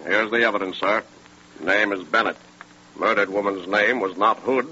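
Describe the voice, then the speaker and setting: An actor playing a confident, dogged police sergeant with emphatic delivery in a 1930s radio drama. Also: male voice, on the low side, pushed and bright. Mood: triumphant. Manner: deliberate, emphatic. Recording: noisy, dull 1930s radio recording.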